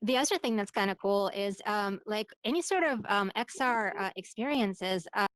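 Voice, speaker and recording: A woman's voice: medium pitch, expressive, moderate pace, smooth, no room sound. Woman with a light Ukrainian accent. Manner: conversational, frequent ums and likes. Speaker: startup co-founder giving a talk. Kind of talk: presentation talk.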